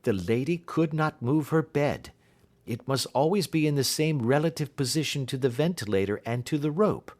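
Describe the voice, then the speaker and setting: A man voicing a keen, assured detective in an audiobook, explaining things precisely and deliberately. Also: on the high side, darkish tone, voice pushed up, close to an everyday voice. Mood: keen, reasoning. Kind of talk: audiobook character dialogue.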